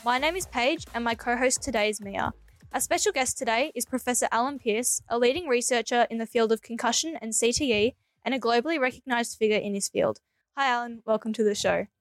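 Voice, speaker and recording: A woman's voice: on the high side, fairly quick, no room sound, faint music behind. A young woman with an Australian accent, hosting a radio interview with prepared introductions and questions. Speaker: radio show host.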